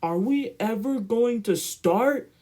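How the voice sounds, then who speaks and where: Man putting on an excitable high-pitched little steam engine. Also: slow pace, full character voice. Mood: anxious, cross. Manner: exclaiming, with high whistling beeps.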